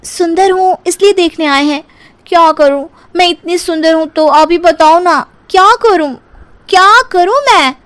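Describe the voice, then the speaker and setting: Woman playing vain young cartoon daughter-in-law, high-pitched, whiny, coy, dramatic pleading. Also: a little slow, fairly bright tone. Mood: whiny, self-admiring. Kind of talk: cartoon story character acting.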